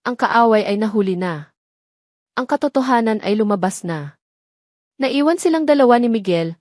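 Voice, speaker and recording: A woman's voice: medium pitch, darkish tone, no echo, studio quality. A woman storyteller reading scripted, dramatic narration for an audio story.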